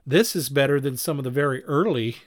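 A man hosting a casual podcast discussion, explaining things in a halting, rambling way and often correcting himself. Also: mid-range voice, dry recording, clean studio sound. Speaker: podcast host.

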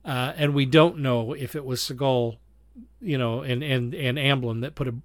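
A man with a mid-pitched voice discusses things as a podcast co-host, recorded dry with very little room sound. He is casual yet analytical, weighing points in a measured, reflective way. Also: fairly even intonation, dry recording.

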